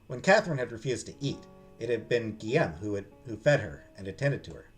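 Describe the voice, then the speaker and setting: A man's voice: on the low side, animated, fairly quick. A man narrating a visual novel aloud in a dramatic storytelling style as a game streamer. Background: music.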